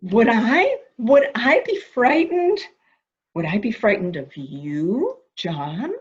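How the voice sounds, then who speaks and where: Wary woman played by an actress humoring a madman: highish, unhurried, flattering, stagey mystery drama, muffled audio. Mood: coaxing, wary. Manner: soft questioning, flattering, theatrical. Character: coaxing, anxious woman humoring a dangerous madman. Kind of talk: amateur murder mystery audio drama acting. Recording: dull, muffled lo-fi sound.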